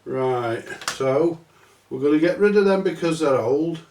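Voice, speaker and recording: A man's voice: a little high, expressive, darkish tone, roomy sound, slight background noise. A middle-aged man with a stuffy cold, talking through a repair in a small workshop, rambling and swearing casually. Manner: slow, rambling, sweary, self-mocking. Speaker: repair channel host. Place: small cluttered electronics workshop, indoors. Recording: distant from the mic.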